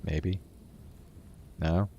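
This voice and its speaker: Man, low-pitched voice, slow delivery, casual streamer chat, muttering, sweary, slightly muffled audio. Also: fairly even intonation, mellow. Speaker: livestream host.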